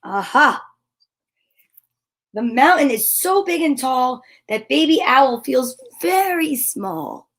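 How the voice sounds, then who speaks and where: A woman hosting a children's story time, reading picture books aloud with expressive exclamations and chatty, self-interrupting asides to viewers. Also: high voice, slow. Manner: expressive, gushing exclamations and gasps.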